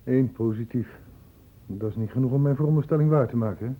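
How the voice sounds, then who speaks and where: An actor playing a persistent male doctor investigating an infection in a Dutch radio drama, questioning deliberately. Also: medium pitch, phone-quality sound, natural voice. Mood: urgent, insistent.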